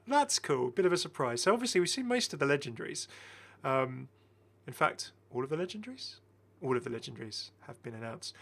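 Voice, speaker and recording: A man's voice: medium pitch, creaky, a bit bright. A man giving casual, enthusiastic game commentary as a chatty, rambling video host.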